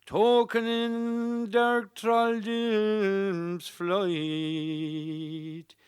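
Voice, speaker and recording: A woman delivers an old lament at a very slow pace, solemn and drawn out. Her voice is exceptionally smooth and resonant, set in a dry, close recording. The style is lyrical and mournful. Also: medium pitch, flat delivery, darkish tone, dry recording.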